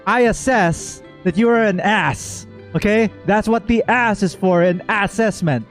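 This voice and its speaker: A man streaming casual game reaction commentary, expressive and joking, talking back to the characters. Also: high-pitched, a little slow. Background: game music.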